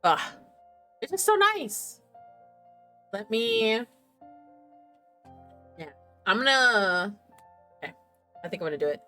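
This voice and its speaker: A woman's voice: on the high side, huge pitch swings, takes it slowly, long pauses, pushed and bright, soft background music. A woman hosting a game livestream, chatting casually and playfully to herself and her viewers in a giggly manner. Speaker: game streamer, host.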